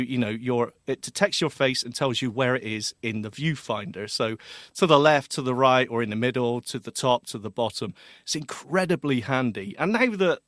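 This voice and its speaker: A chatty male co-host of a technology podcast, talking loosely and laughing easily. His voice sits in a middle pitch and sounds close and dry, with no room around it. He wanders off topic and then pulls himself back. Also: fairly bright tone, no room sound. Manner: chatty, laughs often, goes off on tangents. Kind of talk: casual tech chat.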